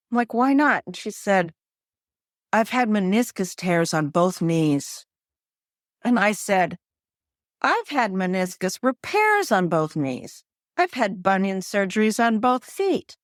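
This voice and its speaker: Lively storytelling woman in her fifties. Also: mid-range voice, no echo, clean studio sound. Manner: animated storytelling, laughs often, acts out her own quotes. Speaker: pickleball coach and author. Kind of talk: podcast interview guest.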